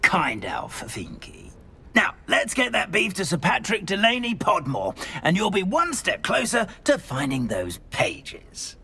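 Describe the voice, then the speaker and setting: A courtly old ghost knight from a fantasy game. His voice is high and creaky, leaping about theatrically, with a genial, gentlemanly air. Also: huge pitch swings, unhurried, exaggerated voice. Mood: genial, pleased. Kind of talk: video game voice acting.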